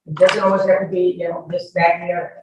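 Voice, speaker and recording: A woman's voice: fairly low pitch, level tone, fast talker, dull audio. Woman, court witness, emphatic repeated denials, distant microphone, strong room echo. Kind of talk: answering questions under examination. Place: indoor courtroom. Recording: echoey, distant mic, faint noise.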